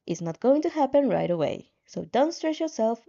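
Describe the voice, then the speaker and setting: A woman voicing a calm, controlling game-like system guide in a fan fiction reading, patient and reassuring with a menacing edge. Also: fairly high pitch, moderate pace, creaky, lo-fi sound, full character voice. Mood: patient, persuasive.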